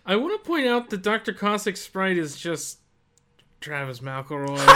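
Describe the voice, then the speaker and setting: Bright, forceful man in casual conversation. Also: high-pitched, huge pitch swings, slow pace. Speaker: podcast co-host. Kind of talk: casual video game discussion.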